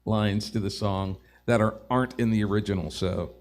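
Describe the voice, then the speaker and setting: A man who is a singer-songwriter, talking casually and haltingly between songs. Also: on the low side, steady tone. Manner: hesitant, halting delivery. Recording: loud acoustic guitar behind. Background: guitar playing.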